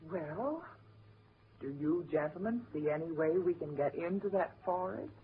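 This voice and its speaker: An actor playing a nervous, wary, plain-spoken companion in a 1940s radio horror drama. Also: male voice, on the high side, moderate pace, close to an everyday voice. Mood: assured. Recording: muffled, noisy 1940s radio recording.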